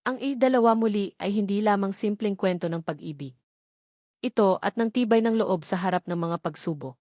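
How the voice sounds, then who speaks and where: A Filipino woman narrates a scripted romance in a dramatic storytelling style. Her voice sits at a medium pitch and her delivery is earnest and sentimental. The sound is dry, with no room around it. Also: fairly quick, a little mellow, dry recording.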